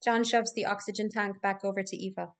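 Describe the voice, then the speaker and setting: A woman's voice: medium pitch, flat delivery, brisk. A woman reading scripted stage directions as narrator at a live table read, in full descriptive sentences.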